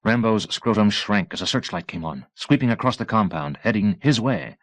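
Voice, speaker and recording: Low-pitched man narrating a novel. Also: expressive, no room sound. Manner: measured, deliberate phrasing. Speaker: audiobook narrator. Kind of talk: scripted novel narration, dramatic.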